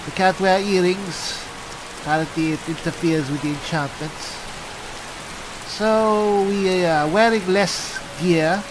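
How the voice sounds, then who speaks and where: A man's voice: high-pitched, takes it slowly. A man voicing a genial, chatty armored cleric adventurer in game roleplay, musing and conversational. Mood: chatty, explanatory. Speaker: gamer roleplaying his character. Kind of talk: in-character game roleplay narration. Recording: hissy, rain noise throughout. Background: falling rain.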